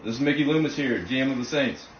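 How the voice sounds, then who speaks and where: A man's voice: mid-range voice, fast. A man making a casual phone call, posing as a team manager, in a distant, muffled, echoey clip.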